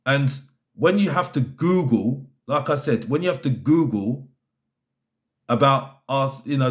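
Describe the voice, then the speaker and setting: A man giving an opinionated football fan rant, exasperated and emphatic, repeating his phrases to drive points home. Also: medium pitch. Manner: exasperated, fed up.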